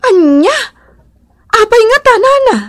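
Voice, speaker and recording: High, slow, animated village wife. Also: female voice, full character voice. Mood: shocked. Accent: Ilocano. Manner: expressive, exclamatory. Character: humble, excitable village wife. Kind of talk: radio comedy drama acting.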